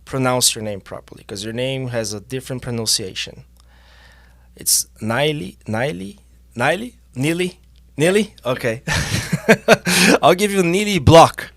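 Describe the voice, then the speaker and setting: Bilingual host with a dry voice. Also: male voice, mid-range voice, no echo. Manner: lively, loud greetings, halting English. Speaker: podcast host. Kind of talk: casual interview chat.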